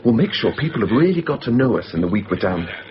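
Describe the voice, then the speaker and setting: A man's voice: on the low side, sing-song, fast talker. An actor in a radio drama playing a casual but insistent, scheming man obsessed with films. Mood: scheming, persuasive. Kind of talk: 1990s radio drama acting. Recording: muffled, noisy radio drama recording.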